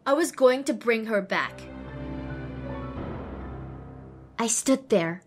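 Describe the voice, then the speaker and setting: A woman's voice: medium pitch, a little mellow, natural voice. A woman voicing a young, determined and wry female pony who narrates her own adventure in an audio drama. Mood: resolute. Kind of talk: scripted first-person narration in audio drama.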